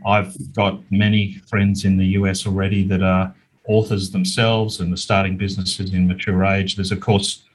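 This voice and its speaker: A man's voice: deep voice, level tone, normal speed, slight background noise, rough recording. A middle-aged Australian man answering interview questions in an earnest, plain-spoken, conversational way. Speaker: interview guest, small business owner.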